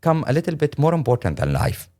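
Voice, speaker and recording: A middle-aged man from Syria with a Syrian Arabic accent, speaking English as a second language in an earnest, halting, emphatic interview account. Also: a little high, very animated, warm tone. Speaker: interview guest, lawyer and humanitarian.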